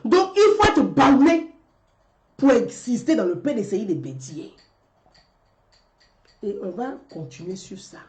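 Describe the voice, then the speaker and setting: A woman speaks in an animated commentary monologue, firing off and repeating rhetorical questions. Her voice sits somewhat high and swings widely in pitch. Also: unhurried, pauses often. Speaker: commentary vlogger.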